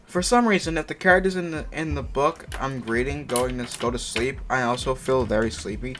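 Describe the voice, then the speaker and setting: A man with a medium-pitched voice reads fiction aloud in a loose, casual way, with music behind him. He stumbles over the text and drops in mocking, sweary commentary. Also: brisk, a bit bright. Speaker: amateur fan fiction reader. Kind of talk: casual read-aloud of a story with asides. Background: background music.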